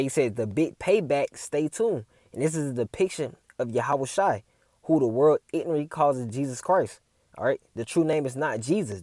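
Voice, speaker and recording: Man, preacher, dark mellow tone, dry close sound, casual preachy commentary, keeps saying "man" and "all right?". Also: medium pitch, no echo. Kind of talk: casual religious commentary video.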